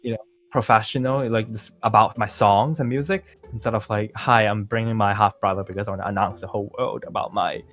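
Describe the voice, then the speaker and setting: Man playing a low-voiced, nonchalant young pop singer. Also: fairly even intonation, a bit bright, natural voice. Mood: musing. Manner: halting, rambling, nonchalant. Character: self-assured young male pop singer, casually blasé. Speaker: roleplay performer. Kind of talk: improvised Minecraft roleplay dialogue. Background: music.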